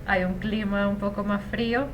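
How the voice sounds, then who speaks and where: A woman's voice: mid-range voice, fairly even intonation, normal speed, a little hiss or hum. Chatty Venezuelan woman in her thirties. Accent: Venezuelan Spanish. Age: 30s. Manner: chatty, reflective, frequent fillers. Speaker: interview guest, Spanish teacher. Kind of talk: casual conversational interview.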